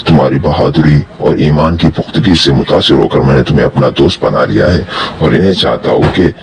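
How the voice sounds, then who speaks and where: A man playing a grateful, solemn jinn in a dramatized children's story, with formal, emphatic speech. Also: rumbling low.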